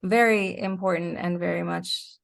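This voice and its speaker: A woman with a fairly low voice and plenty of vocal fry talks as a podcast guest. She is middle-aged and explains things in a reflective, conversational way, lightly amused as she goes. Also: steady tone, moderate pace. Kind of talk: casual interview conversation.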